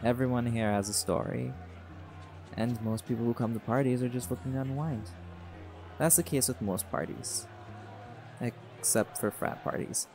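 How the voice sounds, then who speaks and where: A man's voice: mid-range voice, moderate pace, darkish tone. A man voicing a chill, cocky male jaguar shifter who is a pack alpha, talking in a teasing, laid-back way. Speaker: audio roleplay performer. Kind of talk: scripted audio roleplay monologue. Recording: music playing behind. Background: party music.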